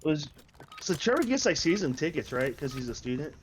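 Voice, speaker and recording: A man's voice: a little high, big pitch swings, fairly quick, low-quality recording. A young American man in casual, emphatic chat, repeating words for emphasis. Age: young adult. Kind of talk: casual chat, swearing banter. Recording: faint background noise.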